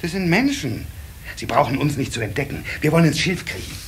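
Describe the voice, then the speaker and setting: Fatherly male water sprite, played by a man, animated pitch, patient, hesitant explaining, children's radio play, faint cassette hiss. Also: brisk, lightly acted. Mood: cautious, explaining. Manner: hesitant, explaining with small stammers. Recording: slightly hissy old cassette recording.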